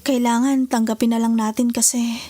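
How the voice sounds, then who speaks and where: A woman's voice: on the high side, little pitch movement, normal speed, dark tone, slight background noise, natural voice. A woman playing a caring, worried Filipino wife and mother in an emotional family drama. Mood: soothing.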